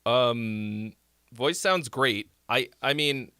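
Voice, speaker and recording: A man's voice: medium pitch, animated, drawn-out words, pushed and bright, clean tone, no echo, clean studio sound. A man with a nasal voice hosting a live song critique stream, rambling casually with lots of fillers and restarts. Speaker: music critique livestream host. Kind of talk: casual livestream song feedback.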